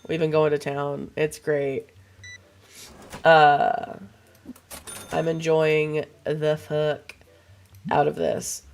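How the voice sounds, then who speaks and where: Hoarse, gravelly young woman streamer. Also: low-pitched, slight background noise. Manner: rambling, swears casually, chats to viewers. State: hoarse and froggy from a cold. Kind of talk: casual livestream chat while gaming.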